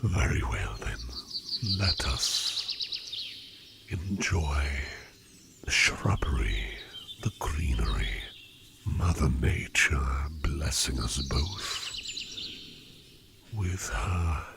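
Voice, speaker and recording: A man voicing a courtly, curious sleep demon in human disguise, speaking formally in a breathy, half-whispered ASMR roleplay with deliberate pauses. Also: rumbling low, very animated, background noise, full character voice. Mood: pleased, curious. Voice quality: breathy, often dropping into whisper. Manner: formal, archaic, deliberate phrasing with many pauses. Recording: birdsong and forest ambience behind voice. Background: birdsong, outdoor nature sounds.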